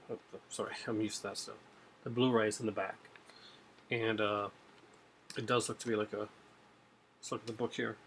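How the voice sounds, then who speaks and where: A man's voice: mid-range voice, gravelly, fairly bright tone, slight background noise. A middle-aged man with a light Newfoundland accent, chatting casually and rambling about his purchases with many fillers, filmed by webcam at home. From Newfoundland, Canada. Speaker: video host. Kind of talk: casual chat showing purchases. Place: home room with shelves and a TV.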